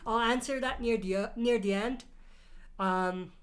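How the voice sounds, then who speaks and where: A woman's voice: fairly high pitch, measured pace. A woman hosting a casual live Q&A stream, reading out viewer questions and answering them, her voice a little off from a cold. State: has a cold. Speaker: livestream host.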